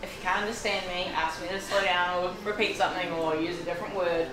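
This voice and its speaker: A woman's voice: lowish voice, steady tone, quick pace. New Zealand woman in a very echoey hall. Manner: candid, self-deprecating. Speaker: fitness coach. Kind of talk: seminar self-introduction. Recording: very echoey room, mic far off, background noise.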